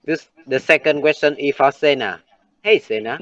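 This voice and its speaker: A teenage boy with a Vietnamese accent hosting a class talk show. He reads a prepared script in a steady presenter tone. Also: a little high, normal speed, no room sound, lo-fi sound. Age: late teens.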